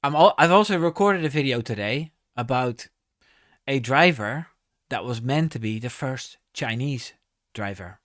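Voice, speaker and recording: A man's voice: medium pitch, expressive, a little slow, a bit bright, no echo, clean studio sound. A man hosting a casual live stream, reading viewer comments aloud and answering with many ums and restarts.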